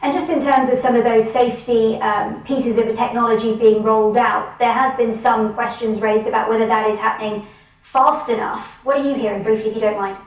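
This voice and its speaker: Echoey, higher-voiced woman news anchor. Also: fairly even intonation, a little fast. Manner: formal, polished broadcast delivery. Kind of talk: scripted news reading and live interviewing. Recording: very echoey, distant from the mic.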